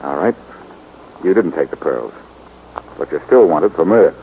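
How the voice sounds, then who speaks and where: An actor playing a cocky, quick-witted American amateur detective, wry and confident, in 1940s radio style. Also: male voice, low-pitched, expressive. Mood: accusing. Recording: muffled, noisy 1940s radio recording.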